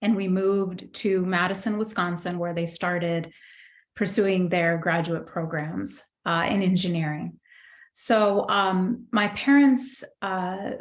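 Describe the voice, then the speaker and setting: A middle-aged woman born in Egypt, a guest in an interview, telling her life story thoughtfully with many pauses and restarts. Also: on the low side, steady tone. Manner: thoughtful, many fillers and restarts, warm recollection. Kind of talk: reflective personal storytelling.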